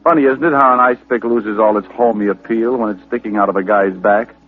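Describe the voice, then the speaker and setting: An actor playing a wisecracking, hard-boiled private detective with a dry, sardonic delivery in old radio style. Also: male voice, lowish voice, fairly quick, faint music behind, lightly acted. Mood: wry narration. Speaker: lead detective and narrator. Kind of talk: 1940s-50s radio detective acting. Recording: old radio broadcast, very narrow muffled band.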